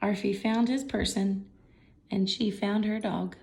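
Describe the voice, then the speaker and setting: A woman's voice: mid-range voice, fairly even intonation, a little slow, vocal fry, darkish tone. A woman reading a picture book aloud to children, expressively explaining the pictures as she goes. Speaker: story reader for children. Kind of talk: picture book read-aloud with simple commentary.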